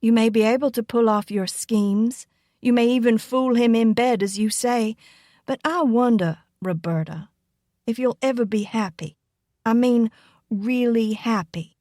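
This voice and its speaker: A woman voicing a small, quietly defiant younger cousin in an audiobook, speaking calmly and pointedly. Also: on the high side, measured pace, no echo, studio quality, lightly acted. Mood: earnest, pitying. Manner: calm, casual, pointed. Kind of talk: audiobook character acting.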